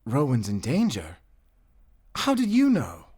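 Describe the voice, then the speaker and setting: Man playing earnest tavern worker, very expressive pitch. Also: measured pace, slight background noise. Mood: worried, earnest. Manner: casual, earnest, concerned. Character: friendly, anxious male tavern worker, worried friend. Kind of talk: fantasy audio drama acting.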